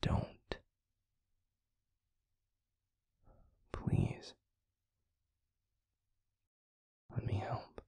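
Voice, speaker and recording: A man playing a tender, protective werewolf boyfriend in an intimate roleplay audio drama, speaking in a hushed, breathy, pleading way. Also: slow, no room sound, whispered, natural voice. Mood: firm, protective. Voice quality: breathy, gravelly, husky low voice. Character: tender, protective, stubbornly caring adult male werewolf boyfriend.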